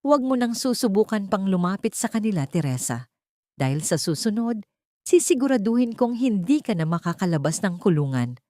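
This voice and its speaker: A woman's voice: mid-range voice, very animated, fairly quick, warm tone, no room sound, clean studio sound, natural voice. A woman voicing a proud, bitter, wealthy father in an audiobook, with a commanding, emotionally heavy manner. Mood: cold threat.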